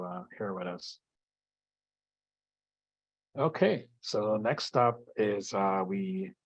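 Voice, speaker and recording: A man's voice: lowish voice, dry recording, dull audio. A man hosting an online lunch talk over a video call, introducing speakers with fillers. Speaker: event host.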